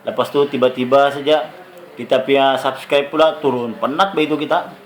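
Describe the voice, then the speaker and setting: A man's voice: mid-range voice, steady tone, fairly quick, low-quality recording. A man from Sabah speaking with a Sabah Malay accent, giving a rambling, casual talk to his channel viewers. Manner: chatty, rambling, with many fillers. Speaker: YouTuber.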